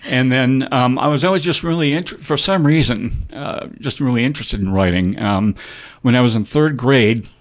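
A man's voice: medium pitch, moderate pace. An older man, an author guest with a slight Canadian accent on certain words, telling rambling, self-deprecating stories with many fillers in a library studio interview. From born in North Dakota, raised partly in Canada. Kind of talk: casual storytelling interview.

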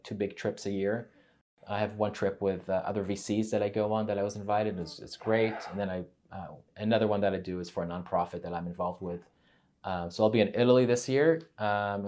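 A man's voice: fairly low pitch, darkish tone. A middle-aged man, a native Hebrew speaker from Israel, talking casually as an interview guest with many fillers and restarts.

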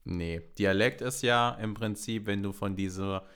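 A man's voice: on the low side, steady tone, a little slow, fairly bright tone, clear, resonant tone. A man with a North Rhine-Westphalian German accent co-hosting a podcast, blunt, easygoing and slangy. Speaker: podcast co-host. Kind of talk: casual chat.

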